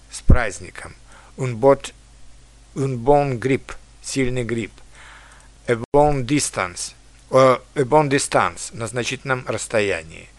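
A man who teaches languages and translates, lecturing in a measured way and reading foreign phrases slowly and carefully. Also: medium pitch, dry recording.

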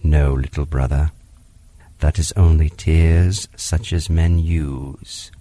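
A man voicing a calm, wise male panther who speaks gently and gravely in archaic phrases. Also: very deep voice, slow, no echo, pitched below the performer's own voice, full character voice. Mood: grave, gentle.

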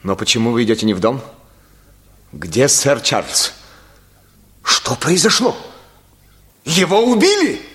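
An actor playing an agitated, horrified country doctor in a radio play, with urgent, dramatic delivery. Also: male voice, mid-range voice, wildly swooping pitch, unhurried, pauses often, a bit bright. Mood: alarmed, urgent. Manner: urgent questioning, dramatic exclamations.

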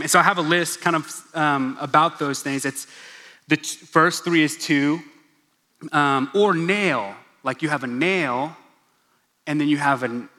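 A man's voice: fairly high pitch, sharp tone. A young man giving a casual, joking sermon as a pastor, with asides to the crowd. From Oklahoma, USA. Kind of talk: casual sermon in a church gathering. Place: large church hall. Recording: long reverb of a large hall.

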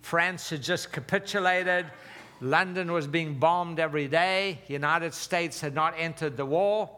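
A man's voice: on the high side, measured pace, bright, forceful tone. A man in his seventies, born in South Africa, preaching a conversational sermon with fillers and some slips. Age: 70s. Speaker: pastor.

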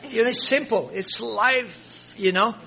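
An older man from Croatia who speaks English as a second language, with a Croatian accent. He is a missionary pastor answering audience questions in an earnest, halting way, full of fillers. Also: high-pitched, gravelly, bright, forceful tone.

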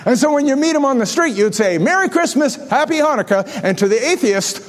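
A man's voice: extremely high pitch, lively intonation, brisk. A man in his sixties preaching a sermon, reading scripture and telling self-deprecating jokes. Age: 60s. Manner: storytelling, self-deprecating humor. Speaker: pastor. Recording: echoey hall with long reverb.

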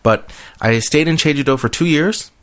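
A man's voice: on the high side, normal speed, fairly bright tone. A man hosting a podcast in casual conversation, with a playful, teasing manner. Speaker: podcast host.

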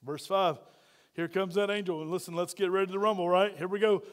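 A man preaching a sermon as pastor, loud and folksy, full of playful asides to the congregation. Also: high voice, fairly even intonation, brisk, long reverb tail.

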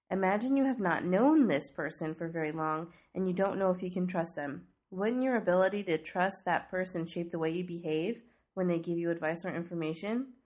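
Young woman with a stuffy cold voice, low-ish, earnest and chatty, hosting a faith podcast. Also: a little mellow. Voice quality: snuffly, stuffy nose. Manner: earnest, conversational teaching with rhetorical questions. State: congested from a cold. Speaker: podcast host. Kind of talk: devotional podcast teaching.

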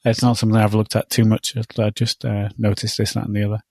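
A man fighting a cold, his voice worn down as he co-hosts a relaxed tech podcast. He sounds tentative and trails off into little questions, recorded close and dry with no room sound. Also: lowish voice, fast, no echo. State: has a cold, losing his voice. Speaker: podcast co-host. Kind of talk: casual tech chat.

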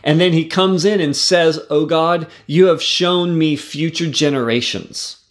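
A man giving a devotional Bible teaching, earnest and conversational, with fillers and restarts, slowing down when he reads verses aloud. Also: fairly high pitch. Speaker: preacher teaching scripture.

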